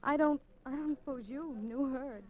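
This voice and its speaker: A woman's voice: high voice, normal speed, natural voice. An actress playing an anxious, determined woman undercover in a 1950s radio suspense drama, with coy, hesitant delivery. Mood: playfully probing. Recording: dull 1950s radio recording.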